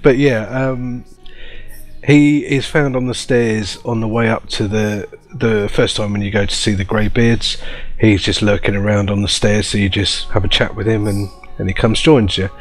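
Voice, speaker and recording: A man's voice: lowish voice, little pitch movement, moderate pace. A man hosting a casual showcase of game mods in a chatty, informal way over background music. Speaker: video host.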